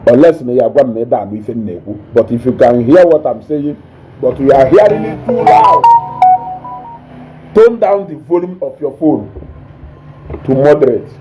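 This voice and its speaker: Loud Nigerian man chatting live. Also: medium pitch, moderate pace, pauses often, music in the background, rough recording. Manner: animated, mixing English with Igbo, eating while talking. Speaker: online show host reading viewer comments. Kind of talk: casual live-stream chat.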